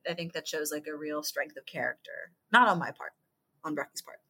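A woman in her thirties from California, talking as an author guest in a podcast interview, halting and thoughtful with many fillers and restarts. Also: low voice, bright, forceful tone, slightly rough. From California, USA.

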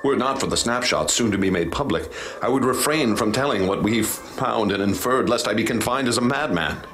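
Deep-voiced, grave professor played by a man. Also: fairly bright tone, lightly acted. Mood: ominous, troubled. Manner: formal, measured, ominous. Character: grave haunted male professor recounting horrors he witnessed. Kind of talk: dramatic radio drama narration. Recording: clear background noise and ambience.

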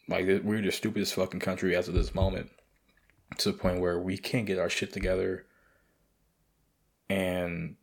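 A young man hosting his podcast, rambling and swearing in a casual late-night monologue with a raspy voice. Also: low voice. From United States. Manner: profane, rambling, loose. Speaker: podcast host.

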